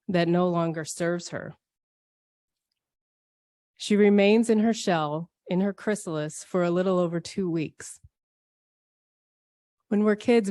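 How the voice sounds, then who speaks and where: A woman delivering a scripted, reflective church sermon in measured, poetic phrases with deliberate phrasing. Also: fairly low pitch, warm tone, dry recording, studio quality. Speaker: speaker at a church service.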